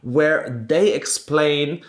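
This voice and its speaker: A man's voice: on the high side, drawn-out words, echoey room. A man from Germany with a German accent, a native German speaker, explaining things step by step as a career coach in an emphatic, instructive way. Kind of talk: explanatory video guide to camera.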